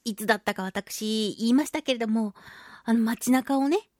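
A woman with a fairly high voice hosts a chatty solo radio show. She tells everyday stories in an animated, exclamatory, giggly manner. The sound is close and very dry, as from a studio microphone. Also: normal speed, dry recording. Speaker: radio talk show host; voice actress. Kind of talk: chatty solo radio monologue about daily life.

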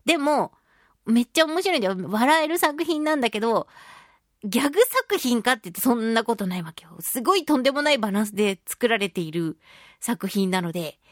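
A woman radio host talking casually to her listeners in an expressive, emphatic, chatty way, stretching words for emphasis. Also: on the high side, no echo, clean studio sound. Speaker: radio show host and voice actress.

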